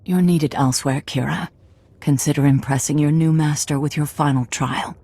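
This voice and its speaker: A man playing an aged, wise and kindly Jedi mentor in a video game, speaking in a measured, solemn way with a gravelly, husky voice. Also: on the high side, steady tone, darkish tone, no echo. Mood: gentle, reassuring. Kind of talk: video game dialogue acting.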